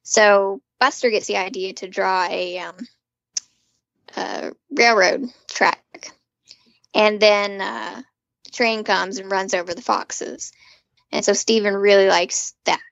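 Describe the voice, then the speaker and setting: A woman's voice: mid-range voice, fairly even intonation, takes it slowly, vocal fry, a bit bright, dry recording, muffled recording. A woman co-hosting a podcast, recapping casually as an enthusiastic fan with rambling asides. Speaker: podcast co-host. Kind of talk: casual chatty episode recap.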